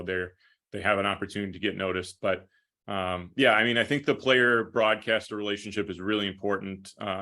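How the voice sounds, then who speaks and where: A man's voice: fairly low pitch, pushed and bright. A man who works as a sports broadcaster, answering casually in an interview and thinking aloud in long, winding answers. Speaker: hockey play-by-play broadcaster being interviewed.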